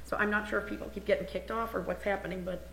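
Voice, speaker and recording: A woman with a hoarse, scratchy voice presenting a budget report to a council, chatty and informal, thinking aloud as she goes. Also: medium pitch, steady tone, racing, a bit bright, echoey room. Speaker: municipal finance officer presenting the budget. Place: council meeting room.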